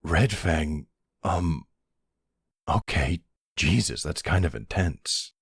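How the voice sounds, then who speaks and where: A man playing a nervous, affectionate boyfriend in an intimate audio roleplay, hesitant and gently teasing. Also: extremely low pitch, lively intonation, slow pace, gravelly, husky, no echo, clean studio sound, exaggerated voice. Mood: hesitant, uneasy.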